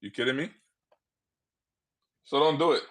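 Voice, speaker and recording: A man with a Liberian accent talks casually and directly to his audience in a relaxed monologue. His voice sits at an ordinary middle pitch for a man. He often jumps into quick little dialogues, acting out both sides. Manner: animated, acts out quick dialogues in put-on voices.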